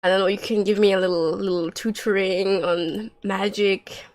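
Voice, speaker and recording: A woman's voice: medium pitch, fairly even intonation, normal speed, a little mellow. A woman giving casual, joking and sarcastic livestream commentary as she plays a video game.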